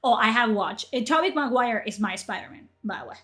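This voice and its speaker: Young woman from Spain, native Spanish speaker, loose chatty streamer, slightly high voice, faint music bed. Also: animated, fairly quick, fairly bright tone. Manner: chatty, rambling, reads chat aloud. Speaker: livestream host. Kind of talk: casual livestream chat.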